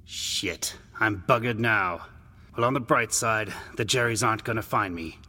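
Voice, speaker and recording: A man's voice: fairly low pitch, unhurried, pushed and bright, exaggerated voice. A man voicing a trapped British soldier in a storybook, grumbling and cursing to himself with wry stoicism. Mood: resigned, wry. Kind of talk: audio storybook character voice. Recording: long hall reverb, background noise.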